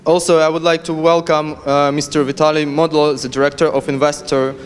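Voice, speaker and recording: A man formally announces a conference panel, welcoming each speaker in turn. His voice sits a little high. It rings through a large hall with faint music underneath. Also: little pitch movement, brisk, clean tone. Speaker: event announcer. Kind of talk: stage announcement.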